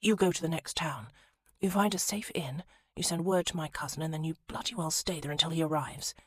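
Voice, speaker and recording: A woman's voice: low voice, fairly quick, a bit bright, breathy edge, no echo. A woman voicing a stern, commanding young aristocrat in an audiobook, speaking in firm, clipped commands.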